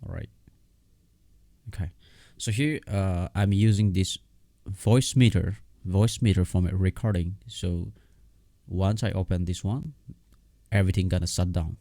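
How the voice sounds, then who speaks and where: A man who is not a native English speaker gives a casual step-by-step software tutorial in a halting, repetitive way. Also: deep voice, no echo. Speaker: tutorial host.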